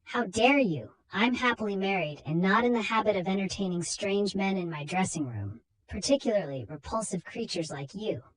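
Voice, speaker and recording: A glamorous married actress as a woman storyteller plays her talks in a husky, dramatic voice. She is proud and easily alarmed, a heroine from a horror comic. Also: mid-range voice, lively intonation, normal speed, few pauses, close to an everyday voice, low-quality recording. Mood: indignant. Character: beautiful married actress, indignant and frightened.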